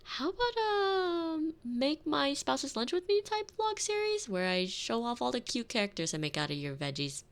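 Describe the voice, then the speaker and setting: A woman's voice: high-pitched, big pitch swings, normal speed, few pauses. A woman playing a sardonic, thrifty retired villainess turned doting housewife in an ASMR roleplay, with wry, teasing, theatrical delivery. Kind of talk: scripted ASMR roleplay audio drama. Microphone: close binaural microphone.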